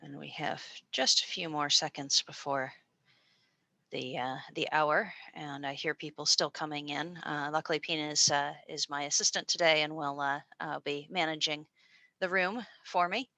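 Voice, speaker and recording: A woman's voice: low voice, steady tone, normal speed, bright, forceful tone, dry recording. An American woman presenting a university program over a video call, chatty and informal with frequent fillers and restarts. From United States. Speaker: presenter and postdoctoral researcher. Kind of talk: online information session presentation. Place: small room at a university.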